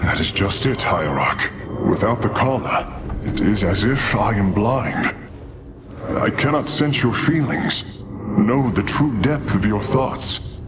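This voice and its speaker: An actor playing a humble male alien engineer who talks in a soft, subdued, formal way. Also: fairly low pitch, lively intonation, takes it slowly, very noisy. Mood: sorrowful. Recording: loud music behind.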